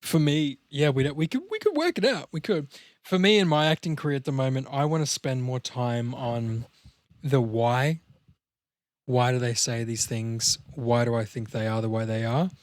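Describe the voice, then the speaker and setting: A man chatting casually as a podcast co-host and actor, riffing in an animated, sweary way. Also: mid-range voice, normal speed, dry recording.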